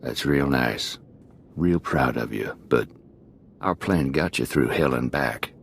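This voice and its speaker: Very deep, gravelly gangster. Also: male voice, expressive, takes it slowly, slight background noise, full character voice. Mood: wary. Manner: folksy, slangy swagger. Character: rough, sly male prison gang boss. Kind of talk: video game voice acting.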